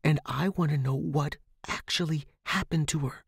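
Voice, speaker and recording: Audio-drama young woman male performer: raised pitch, nervy and commanding, breathy urgent whispering. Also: normal speed, no room sound, clean studio sound, full character voice. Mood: worried whisper. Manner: hushed, urgent, often whispered. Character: Megan, anxious bossy young woman, hissing and whispering. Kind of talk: audio fiction character dialogue.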